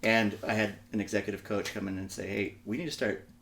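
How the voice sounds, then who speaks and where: A man's voice: lowish voice, steady tone, fast, creaky, a bit bright. A man talking casually as a co-host in a conversational discussion, with faint ambient noise behind him. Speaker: co-host with executive experience.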